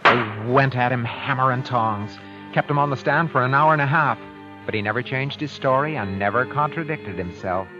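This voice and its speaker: An actor playing a cagey, calculating husband accused of murder, narrating and speaking in old radio suspense style, deliberate but halting under questioning. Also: male voice, medium pitch, lightly acted. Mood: measured, brooding. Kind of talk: 1940s-50s radio suspense acting, first-person narration. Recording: muffled vintage radio recording, music behind.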